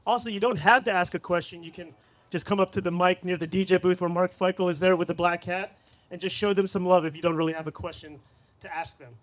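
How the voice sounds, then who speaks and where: A man's voice: sits high, fairly even intonation, fast. A man hosting a live podcast panel at a cafe event, upbeat and hyping up his guests. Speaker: podcast host.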